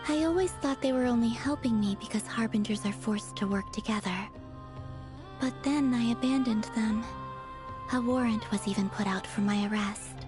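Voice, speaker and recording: Woman playing a gentle, high-voiced game heroine. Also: close to an everyday voice. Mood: reflective. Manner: gentle, measured, reflective. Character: gentle, composed young woman, thoughtful and empathetic. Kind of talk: video game voice acting. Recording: game music behind the voice.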